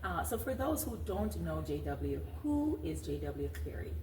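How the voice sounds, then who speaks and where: A woman's voice: lowish voice, big pitch swings, measured pace, warm tone. A woman hosting a talk show in an announcing, presenter-like manner. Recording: roomy echo, distant mic, clear background noise.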